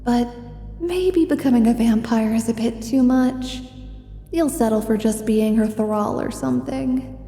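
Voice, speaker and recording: Female narrator, higher-pitched and very mellow, scripted second-person ASMR storytelling. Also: measured pace, roomy sound, long reverb tail, faint music behind.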